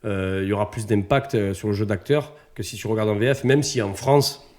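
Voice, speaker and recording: A man's voice: on the low side, steady tone, a little fast, darkish tone. A man hosting a casual podcast chat, steering the talk with questions. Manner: chatty, steers the conversation with questions. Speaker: podcast host.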